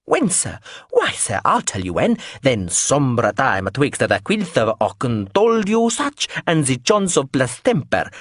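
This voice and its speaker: A man voicing an eccentric, rambling woman who babbles nonsense in a high, excitable voice. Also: unhurried, no room sound, clean studio sound, full character voice. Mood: babbling.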